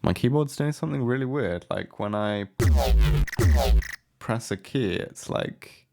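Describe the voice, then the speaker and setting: A man giving a casual, unscripted software walkthrough as a tutorial presenter, low-key and hesitant in manner. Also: expressive, unhurried, vocal fry, over music. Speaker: music production tutorial presenter.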